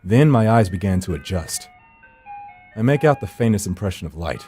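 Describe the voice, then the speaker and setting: A man with a low, dark voice narrates a visual novel. He reads the script in a brooding, theatrical way over faint music. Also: expressive, normal speed. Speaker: gameplay channel host reading aloud.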